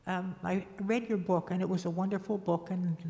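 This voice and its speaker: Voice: mid-range voice, steady tone, moderate pace, creaky, dark tone. An audience member sincerely asking a speaker a question about his book. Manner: sincere, moved. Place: church hall. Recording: long church hall reverb.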